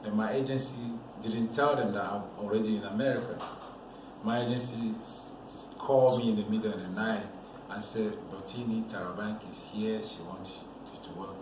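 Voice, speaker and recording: A man from Cameroon, a model telling his life story in a relaxed, rambling way as an interview guest. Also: fairly low pitch, moderate pace. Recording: echoey room, voice from a distance, noisy background.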